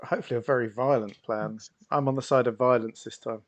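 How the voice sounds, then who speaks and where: A man at the close of a live tabletop game stream, chuckling between lines. His voice sits at an ordinary middle pitch, and he trades quick jokes with his friends before thanking everyone for coming. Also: fairly even intonation, lo-fi sound. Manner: laughs often, warm sign-off thanks. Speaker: co-host and player. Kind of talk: casual end-of-stream chat.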